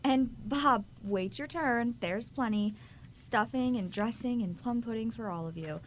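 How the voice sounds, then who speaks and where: A woman playing a caring but fretful, sharp-tongued mother in a radio drama, bustling and emphatic. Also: a little high, a little slow, a little hiss or hum, close to an everyday voice.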